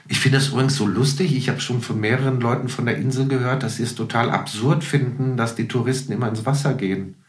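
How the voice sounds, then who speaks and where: Male guest, middle-aged, mid-range voice, relaxed conversational delivery with thoughtful hesitations, recorded with noticeable room reflections. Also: medium pitch, quick pace, hardly any pauses, roomy sound. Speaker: podcast guest, musician. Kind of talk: casual chat.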